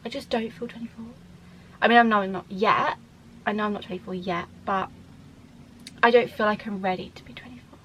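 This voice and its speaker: A woman's voice: mid-range voice, steady tone, fairly quick, pauses often, fairly bright tone, slight background noise, low-quality recording. A woman in her twenties from the UK, chatting casually to camera in a Q&A vlog, rambling with lots of fillers and restarts. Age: 20s. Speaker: vlogger.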